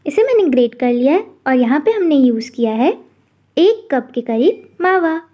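A woman hosting a cooking channel, calmly narrating a recipe step by step in a voice-over. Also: high voice, normal speed. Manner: calm instructional narration.

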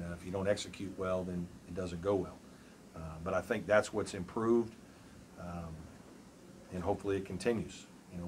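A man in his forties, a college basketball coach, answering questions at a postgame press conference in a measured, thoughtful way with many fillers. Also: deep voice, noisy background. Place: press room before a backdrop. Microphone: table mic on a stand.

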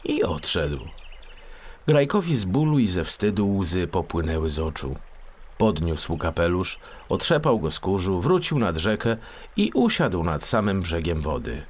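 A man narrating a scripted children's story expressively, as a storyteller. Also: low voice.

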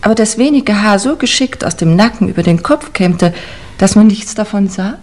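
An actress playing a poised, gossipy upper-class niece in a 1950s German radio crime play. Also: female voice, medium pitch, animated, moderate pace, a little mellow, lightly acted. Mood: chatty.

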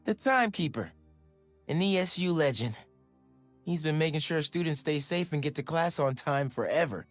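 An actor playing a sincere, enthusiastic teenage superhero in a video game, speaking casually. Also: male voice, a little high, lively intonation, normal speed, no room sound, faint music behind, natural voice. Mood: fond, admiring. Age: young adult. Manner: casual, earnest.

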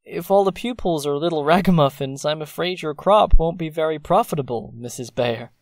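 An actor playing a teasing, sceptical young gentleman in an audio drama, genteel and wry. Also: fairly high pitch, fairly quick, no room sound, natural voice. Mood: wry, doubtful.

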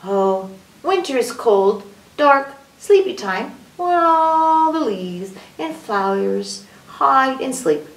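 A patient mother animal from a children's storybook, acted out by a woman, speaks slowly and soothingly, explaining things gently. Her voice rises and falls in a roomy, echoey space. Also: sits high, animated, creaky, full character voice. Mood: gentle, soothing. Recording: roomy echo, distant microphone.

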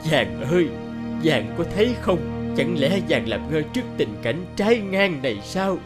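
A man playing a grave, compassionate old village elder in an animated folk tale, speaking in a measured, solemn way. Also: fairly high pitch, loud music behind the voice. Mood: pleading.

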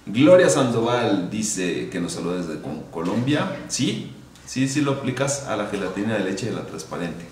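A man's voice: fairly low pitch, big pitch swings, moderate pace, a little mellow, a little hiss or hum. A man co-hosting a live cooking class, chatty as he reads viewer questions and promotes the channel. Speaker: livestream co-host reading viewer comments. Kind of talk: casual live stream hosting. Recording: echoey kitchen livestream.

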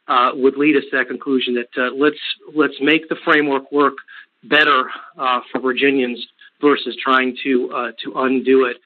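A man speaking for an environmental group, answering off the cuff on a telephone conference call in a measured, halting way. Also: mid-range voice. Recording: narrow, tinny phone line.

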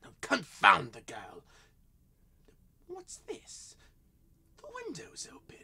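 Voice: high-pitched, wildly swooping pitch, slow, gravelly, bright, forceful tone, in a whisper, exaggerated voice. An actor playing a drunken, cruel and lecherous squire, blustering, taunting and leering in old-fashioned radio drama style.

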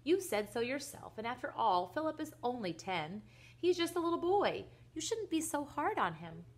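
A woman puts on a soft-hearted storybook mother. She speaks in a coaxing, soothing manner, higher than the narration, trying to keep the peace. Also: very animated, normal speed. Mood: placating. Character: gentle, soothing mother defending her young son.

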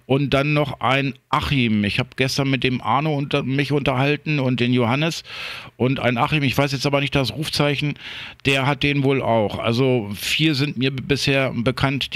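A man's voice: medium pitch, a bit bright, no echo. Man chatting casually in a dry-sounding space. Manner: halting, repeats and restarts words. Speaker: amateur radio operator. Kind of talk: casual unscripted radio chat.

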